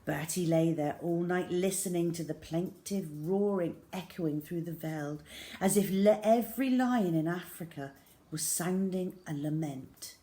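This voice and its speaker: A woman reading a children's story aloud in a measured, expressive, dramatic way with hushed emphasis. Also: fairly low pitch.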